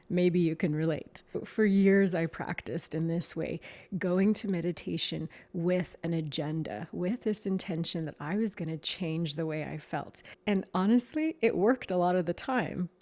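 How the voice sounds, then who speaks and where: A woman speaks in a notably low, warm voice. She talks gently and reflectively as a meditation teacher, slowing down on the words she wants to land, and keeps an unhurried, measured pace. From Canada. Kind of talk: reflective to-camera talk.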